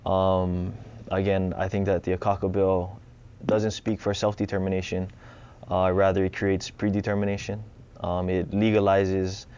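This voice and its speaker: A young man speaking as a guest on a TV studio panel, calm and earnest, steady with fillers. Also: sits low, clean tone, lo-fi sound. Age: young adult. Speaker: student and teacher, panel guest.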